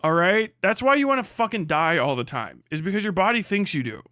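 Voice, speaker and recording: A man's voice: high-pitched, big pitch swings, fairly quick, sharp tone, dry recording, studio quality. A man on a casual livestream rant, blunt and hectoring, swearing heavily as he speaks. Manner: mocks others in put-on voices. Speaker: livestream host.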